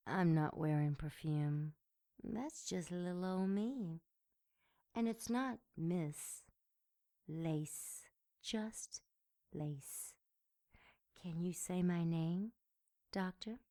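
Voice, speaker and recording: Menacing young femme fatale, low mellow voice, slow breathy purr, teasing, commanding, audio drama. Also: female voice. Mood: coy, teasing.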